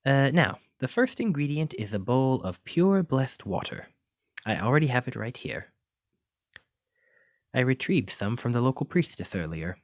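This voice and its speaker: A man's voice: mid-range voice, very animated, normal speed, no echo, clean studio sound. A man playing a clumsy, kindly wizard teacher in an ASMR roleplay, with theatrical, old-fashioned, encouraging phrasing. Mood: genteel, instructive. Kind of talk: ASMR roleplay addressed to the listener.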